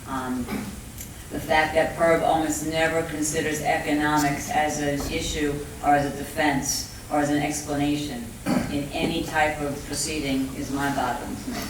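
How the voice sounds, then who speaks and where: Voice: medium pitch, flat delivery, normal speed. A middle-aged or older panelist with a Brooklyn accent, talking off the cuff in a conversational, plain-spoken and emphatic way. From Brooklyn, New York, USA. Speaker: labor relations negotiator, conference panelist. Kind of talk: panel talk, speaking off the cuff. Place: indoor conference room with audience. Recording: echoey hall, distant mic, faint noise.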